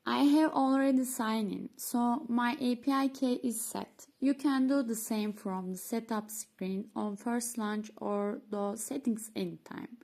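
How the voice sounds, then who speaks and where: A woman whose first language is Turkish, presenting a scripted walkthrough of software she built. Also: a little high, fairly even intonation, a little slow, creaky, hardly any pauses. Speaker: developer demoing her software. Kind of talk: scripted screen-recording walkthrough.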